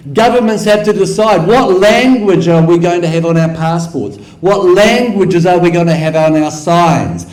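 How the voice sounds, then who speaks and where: A man with a New Zealand accent lectures slowly to a hall audience, his voice sitting a little high and ringing off the room's echoey walls. He speaks in rhetorical runs of repeated phrases and keeps quizzing the listeners directly. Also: a little mellow. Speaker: guest lecturer. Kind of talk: conference lecture with audience questions.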